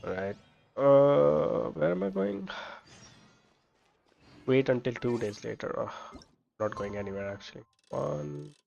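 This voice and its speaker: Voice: medium pitch, expressive, measured pace, gravelly, pauses often, a little mellow, dull audio. A video game streamer giving casual playthrough commentary, offhand and muttering to themselves. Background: game music.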